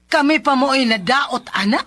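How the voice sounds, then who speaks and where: A concerned young woman with a Cebuano accent. Her voice is on the high side as she warns a friend in radio drama style. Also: animated, unhurried, fairly bright tone, heavily acted.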